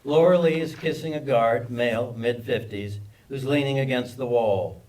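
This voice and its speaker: A man's voice: mid-range voice, moderate pace, darkish tone. Distant-miked man reading screenplay action. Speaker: stage-direction reader. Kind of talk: scripted narration of screenplay action. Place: live table read at a film festival. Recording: distant microphone at live reading.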